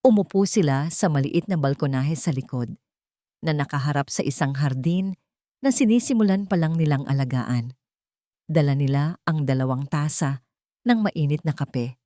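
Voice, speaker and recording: Woman, story narrator, dramatic storytelling, dry close sound, lower pitch. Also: normal speed, dark tone, no echo. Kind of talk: scripted romance story narration.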